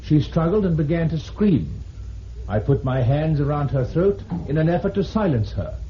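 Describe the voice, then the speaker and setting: A man's voice: a little high, sing-song, moderate pace. A man playing a formal British Crown Prosecutor in a vintage radio drama, reading aloud to a court in a declamatory way. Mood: grave. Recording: muffled old radio recording, faint hiss.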